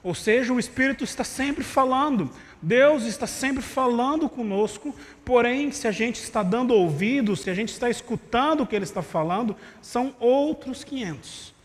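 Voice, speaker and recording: A man's voice: very high voice, expressive, moderate pace, few pauses. A man preaching a sermon in a conversational way, with rhetorical asides to the congregation, in a voice that tires easily. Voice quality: vocal cord problem, voice tires easily. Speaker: pastor. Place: church sanctuary. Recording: live church stream with long reverb.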